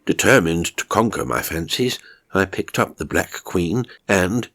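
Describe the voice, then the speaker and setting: A man's voice: deep voice, expressive, unhurried, gravelly, a bit bright. A man reading a first-person horror story as scripted narration, dramatic and suspenseful in his delivery. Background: music.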